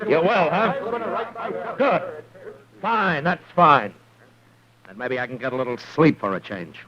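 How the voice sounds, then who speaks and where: An actor playing a world-weary, principled American frontier marshal in an old-time radio western, firm, terse and sardonic. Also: male voice, on the high side, a little slow, a crowd in the background, natural voice. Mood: bitter, sardonic. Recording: muffled vintage radio recording.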